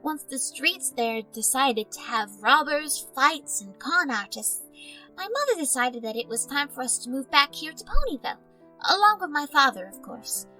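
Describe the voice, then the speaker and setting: A young cartoon creature girl who is new in town. She is guarded and dryly polite. Her high voice moves with lively pitch, over faint music. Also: normal speed, rough recording. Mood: wistful. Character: young female newcomer girl creature, guarded, dry, well-spoken. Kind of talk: animated audio drama acting.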